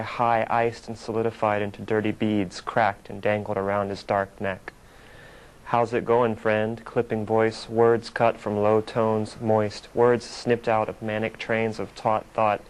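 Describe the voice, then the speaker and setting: A man's voice: lowish voice, a little hiss or hum, rough recording. A man reading his own poem in short, broken, repeating phrases, like an incantation. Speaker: poet. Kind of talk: poetry reading.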